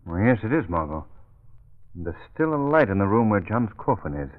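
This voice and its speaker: Gentleman detective: low, composed, thoughtful, measured old-time radio delivery on a thin narrowband recording. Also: very animated. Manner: measured, reasoning. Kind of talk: 1930s-40s radio drama acting.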